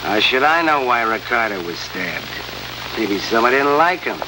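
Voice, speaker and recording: An actor playing a surly murder suspect in an old radio drama, evasive and dismissive. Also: male voice, medium pitch, very animated, normal speed, natural voice. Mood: evasive, sarcastic. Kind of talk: 1950s radio drama acting. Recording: noisy old radio recording, heavy hiss.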